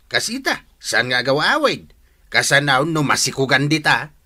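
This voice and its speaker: A man's voice: medium pitch, very animated, moderate pace, pushed and bright, full character voice. An actor with a Filipino accent playing an angry, stern middle-aged father in a radio drama.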